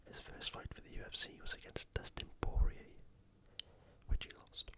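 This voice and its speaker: An ASMR host, speaking entirely in a breathy whisper in a casual, conversational commentary style. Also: moderate pace. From United Kingdom. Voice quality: whispered, unvoiced. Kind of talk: ASMR fight preview commentary.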